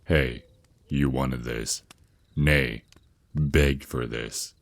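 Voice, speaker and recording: A man's voice: rumbling low, fairly even intonation, drawn-out words, gravelly, no room sound, heavily acted. A man voicing an affectionate, protective vampire naga boyfriend in an intimate ASMR roleplay, mentoring his partner with slightly formal wording and small stammers. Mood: tender, explanatory. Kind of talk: ASMR roleplay, intimate one-sided scripted dialogue.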